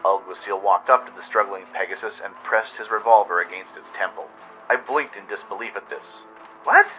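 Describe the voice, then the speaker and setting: A man's voice: fairly low pitch, steady tone, a little fast, sharp tone, rough recording. A man reading a dramatic scripted adventure story as narrator. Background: music.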